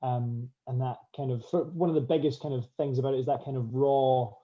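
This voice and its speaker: A man, an actor, answering questions thoughtfully and haltingly as he restarts his phrases. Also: medium pitch, fairly even intonation, lo-fi sound.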